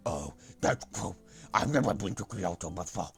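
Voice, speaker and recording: A man doing a creaky cheeky schoolgirl. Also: deep voice, expressive, normal speed, faint music behind. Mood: cheerful. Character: cheeky young schoolgirl, playful and sporty. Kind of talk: voicing a visual novel character on a stream.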